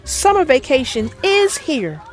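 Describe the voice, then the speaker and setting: A woman narrating a children's picture book as an expressive, theatrical read-aloud. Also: high voice, a little slow, soft background music.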